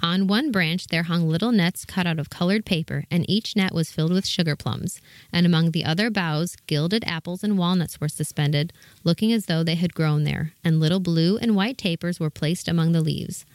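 A woman's voice: on the low side, normal speed, dry recording. A woman reading scripted storybook narration as the narrator of a fairy tale.

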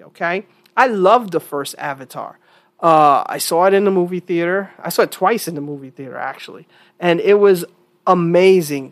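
A woman hosting her own opinion podcast in a casual, chatty monologue, sounding stuffy from a cold and repeating points for emphasis. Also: fairly low pitch. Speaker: podcast host.

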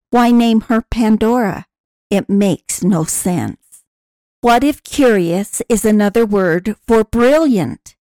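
A woman's voice: mid-range voice, dark tone, dry recording. A woman reading a scripted personal essay aloud as a podcast narrator. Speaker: podcast announcer and reader.

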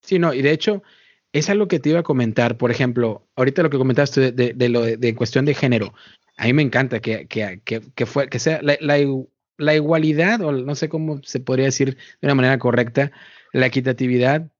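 A man's voice: medium pitch, brisk, no room sound. Brief: male podcast host, chatty and questioning, dry muffled sound. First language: Spanish. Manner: chatty, asks questions, repeats words. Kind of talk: casual podcast conversation. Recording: dull, muffled dry audio.

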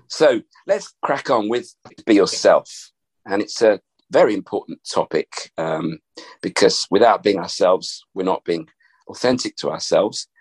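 A middle-aged man hosting a podcast with a gravelly voice, talking in a thoughtful, rambling way from an upstairs room at home. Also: sits low, animated, a little slow. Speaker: podcast host. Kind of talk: casual reflective chat.

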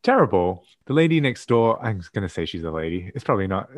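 Playful, camp low-voiced man podcaster. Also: very animated, no room sound. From Australia. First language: Mandarin. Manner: camp, playful, quick to laugh, mock-dramatic. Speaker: podcast co-host. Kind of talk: casual podcast chat.

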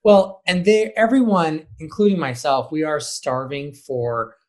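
A man who directs high school theater, answering interview questions casually and acting out bits of imagined dialogue in an animated way. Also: unhurried.

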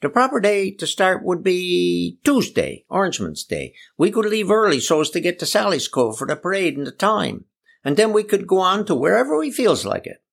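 Man putting on an excitable high-pitched old Newfoundland uncle. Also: moderate pace, vocal fry, full character voice. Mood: eager. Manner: folksy dialect, exclaiming.

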